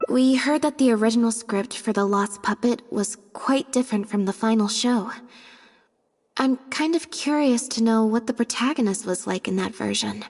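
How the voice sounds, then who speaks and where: A woman playing a polite, curious young female character in a video game, with measured delivery. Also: a little high, a little mellow. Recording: reverberant voice with music. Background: background music.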